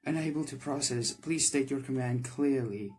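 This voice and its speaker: A man's voice: mid-range voice, moderate pace, darkish tone, slightly rough, close to an everyday voice. A man voicing a naive, literal-minded candy golem girl in a video game, with stiff, formal phrasing and music behind. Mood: robotic, blank. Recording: background music under the voice.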